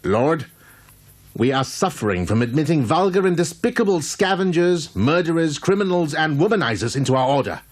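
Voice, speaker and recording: A man as a husky, scheming rebel monk. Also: fairly high pitch, sing-song, normal speed. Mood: insistent. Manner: formal, insistent. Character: defiant, scheming male monk challenging his master. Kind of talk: animated religious drama dialogue.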